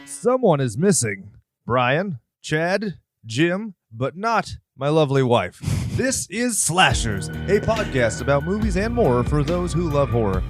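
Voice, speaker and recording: A man's voice: on the high side, big pitch swings, a little slow, over music. A man hosting a podcast, chatting casually about movies in a joking, playfully theatrical manner. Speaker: podcast host. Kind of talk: casual movie review chat.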